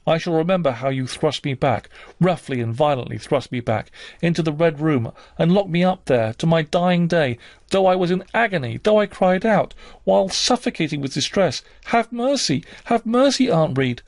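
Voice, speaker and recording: A man's voice: on the high side, moderate pace, dry recording, pitched above the performer's own voice, close to an everyday voice. A man voicing a wounded, defiant young girl in a storybook reading, vehement and accusing with emphatic delivery. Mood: anguished, indignant.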